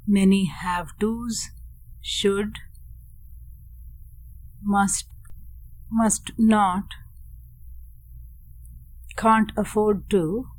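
A woman guides a meditation at a very slow pace. Her pitch is medium, and she speaks deliberately in short, measured phrases, leaving long pauses between them as a teacher would. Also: fairly even intonation, low-quality recording.

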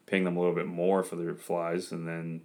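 A young man with a very deep voice hosting a podcast, talking in a relaxed, filler-heavy, somewhat self-conscious way. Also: moderate pace. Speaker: podcast host. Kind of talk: casual interview chat.

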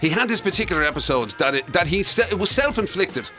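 Irish male presenter, fairly high voice, very animated, casual comic banter. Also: fast talker, faint music behind. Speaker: radio show host.

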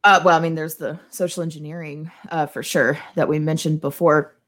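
A woman's voice: deep voice, steady tone, a little fast, fairly bright tone. A woman chatting casually as a podcast guest, speaking in a candid, earnest way. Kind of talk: casual chat.

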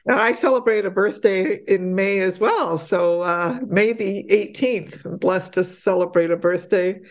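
A middle-aged woman from Poland, a podcast guest chatting casually, laughing often and getting animated when excited. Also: mid-range voice, measured pace. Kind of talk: casual interview chat.

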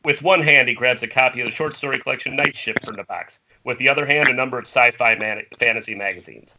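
A man's voice: mid-range voice, fast. A man narrating the stage directions of a screenplay in an amateur table read. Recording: dull, noisy internet call audio.

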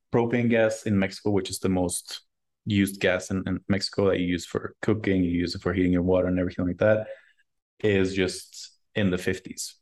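A man with a very deep voice talks about his work in an even, flat tone, with little rise or fall. He answers casually and haltingly, doubling words and leaning on fillers as he thinks. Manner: hesitant, many repeated words and fillers. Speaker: startup founder and guest.

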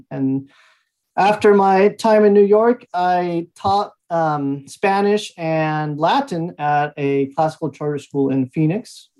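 A man in his thirties from Mexico, speaking as an interview guest, telling his story in a relaxed way with frequent fillers and small laughs. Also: fairly high pitch, poor audio. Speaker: guest, language institute founder. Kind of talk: conversational interview answers.

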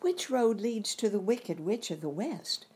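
A woman voicing a gentle, sad young girl in a storybook reading, in a soft, plaintive girlish voice. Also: on the high side, animated, slow, husky, pitched above the performer's own voice, full character voice. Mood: earnest.

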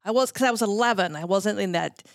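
A woman's voice: medium pitch, fast talker, vocal fry, no room sound, studio quality. A woman in her fifties from Minnesota, a podcast guest telling nostalgic stories casually, with enthusiasm and emphasis. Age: 50s.

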